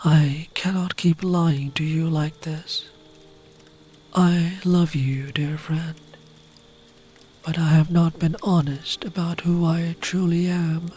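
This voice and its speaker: Guilt-racked, loving demon for an ASMR bedtime tale, played by a man: hushed, pleading, raised pitch. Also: steady tone, slow pace, a little hiss or hum. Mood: guilty, pleading. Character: guilt-racked demon, devoted friend of a king.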